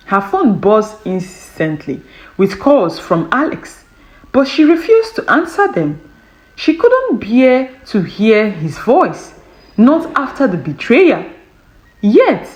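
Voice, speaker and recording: A woman narrating a scripted romance story in a measured, dramatic, expressive storytelling style. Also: mid-range voice, low-quality recording.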